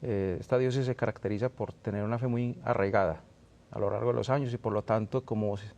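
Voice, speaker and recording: A man's voice: fairly low pitch, fairly even intonation, quick pace, a little mellow. A man in his thirties with a Colombian accent from Antioquia, a priest giving reflective, searching answers in an interview.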